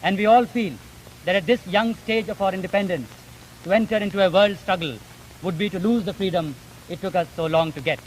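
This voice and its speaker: A high-voiced man with an Indian accent argues his points formally and emphatically. His speech is articulate and deliberate in its phrasing. The recording is an old 1950s broadcast with light background noise. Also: poor audio. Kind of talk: formal debate.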